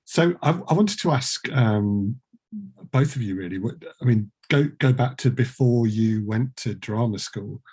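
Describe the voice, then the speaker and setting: A man's voice: lowish voice, measured pace, darkish tone, slightly rough. A man interviewing guests casually, putting his questions in a tentative, stumbling way. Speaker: interviewer, podcast producer.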